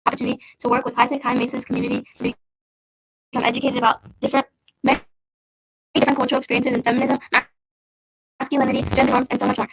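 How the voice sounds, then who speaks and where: Woman announcer reading a script, kinda high voice, pretty flat. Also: poor audio. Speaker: radio play announcer.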